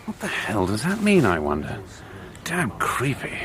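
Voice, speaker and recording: An impatient English commando officer in a wartime radio drama. His delivery is clipped and sardonic, swinging freely in pitch over clearly noisy outdoor ambience. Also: huge pitch swings, unhurried, fairly bright tone.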